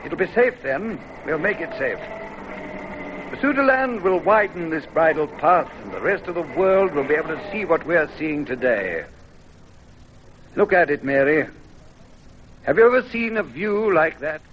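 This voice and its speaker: High-voiced, hopeful traveller. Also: male voice, sing-song, normal speed, long pauses. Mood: earnest, awed. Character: hopeful, awed male traveller talking to companion. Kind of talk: old-time radio drama dialogue. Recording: noisy dull old radio recording.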